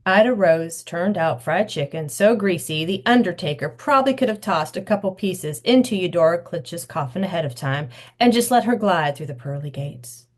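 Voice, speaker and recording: A woman reads her own children's novel aloud, her voice sitting on the lower side yet swinging widely in pitch. She tells the story with expressive storyteller energy, bringing the narration to life. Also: normal speed, hardly any pauses. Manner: expressive storytelling, acting out the dialogue. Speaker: author.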